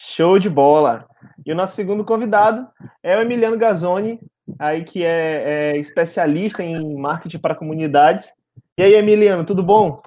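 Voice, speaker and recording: Male podcast host, Brazilian Portuguese accent, high voice, informal and chatty. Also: moderate pace, mellow, lo-fi sound. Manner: informal, chatty, frequent tag questions. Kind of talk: casual conversational podcast hosting.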